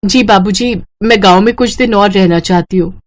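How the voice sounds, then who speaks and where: A woman's voice: medium pitch, normal speed, natural voice. Woman as an earnest young daughter-in-law. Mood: polite, eager. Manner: respectful, earnest. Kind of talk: acted Hindi moral story dialogue.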